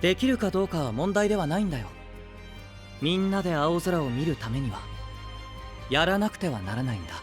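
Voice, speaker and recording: A man playing a soft, high-voiced idealistic young knight. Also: expressive, faint music behind, lightly acted. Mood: earnest, resolved. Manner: soft-spoken, earnest, measured. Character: gentle, idealistic young male knight leading an alliance. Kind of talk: anime-style audio drama acting.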